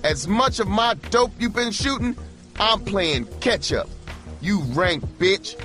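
A man playing a cold, hard older pimp who lectures in gruff street slang. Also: fairly low pitch, animated, a little slow. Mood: threatening. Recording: music bed behind the voice.

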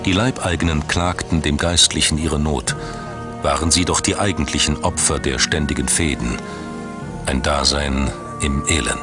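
A man narrating a scripted documentary in a measured, dramatic storytelling manner. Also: a bass voice, steady tone. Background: background music.

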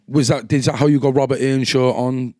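A man's voice: medium pitch, fairly even intonation, brisk, warm tone, no room sound, clean studio sound. A man with a Cardiff Welsh accent hosting a podcast, asking laid-back, slangy questions. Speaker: podcast host. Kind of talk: casual podcast interview.

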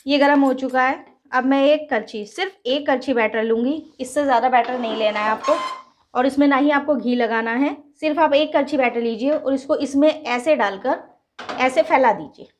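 High-voiced woman teaching a home recipe. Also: normal speed, a little hiss or hum. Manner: patient, instructive, addresses viewers as friends. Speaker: cooking channel host. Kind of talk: step-by-step cooking demonstration. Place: home kitchen with gas stove.

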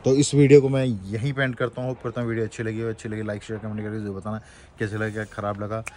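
Guy in his 30s vlogging outdoors on his phone, lowish voice, gushing about the views, faint road hum. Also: level tone, racing, darkish tone. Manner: chatty, exclaiming over the scenery. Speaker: travel vlogger. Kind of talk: casual travel vlog. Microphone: phone at arm's length.

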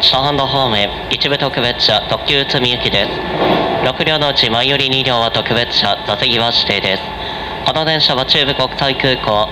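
A man working as a train conductor, making a formal, polite, routine onboard announcement. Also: medium pitch. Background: vehicle and ambient noise.

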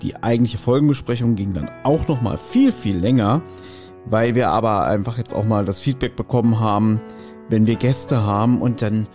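Mellow-voiced man hosting a podcast. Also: fairly low pitch, normal speed, faint music behind. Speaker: podcast host. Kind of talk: conversational podcast announcement.

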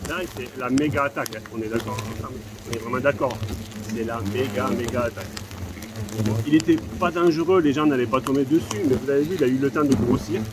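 A man's voice: mid-range voice, quick pace, very noisy. A man, giving informal, repetitive commentary to viewers as he works outdoors with a handheld phone. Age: 30s. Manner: informal, addresses viewers directly, repeats himself. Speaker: hornet nest exterminator and video host. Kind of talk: casual vlog commentary while working. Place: outdoors, on rooftops and narrow village streets. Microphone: handheld phone at arm's length.

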